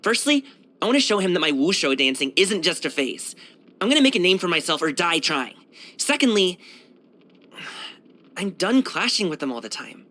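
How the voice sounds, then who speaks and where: A man's voice: high voice, big pitch swings, normal speed, long pauses, sharp tone, full character voice. A man voicing a brash, cheerful young martial arts dancer and guard in a video game, chatting casually in a slangy, boyish, self-assured way. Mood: frank, frustrated. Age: young adult. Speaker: video game character voice actor. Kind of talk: casual, conversational game voice lines.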